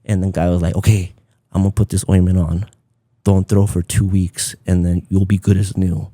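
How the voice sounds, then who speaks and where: Man, Spanish speaker, relaxed dark tone, dry close sound, casual podcast storytelling. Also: low voice, moderate pace, no room sound. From Southern California, United States. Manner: informal, peppered with "like" and Spanish phrases. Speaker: podcast guest, content creator.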